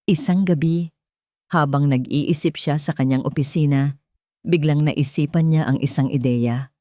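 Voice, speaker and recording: A woman's voice: sits low, fairly even intonation, measured pace, warm tone, no echo, clean studio sound. A woman with a Filipino accent reading a scripted dramatic story as its narrator.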